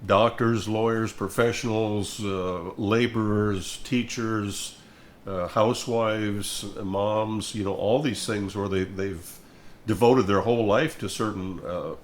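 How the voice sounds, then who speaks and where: Older man with a low, thoughtful voice. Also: steady tone, takes it slowly, a little hiss or hum. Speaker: music minister and host. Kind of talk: reflective conversational talk.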